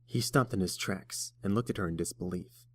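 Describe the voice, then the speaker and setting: A man's voice: deep voice, fairly quick, slight background noise. A man narrating a story from a script as a storybook narrator.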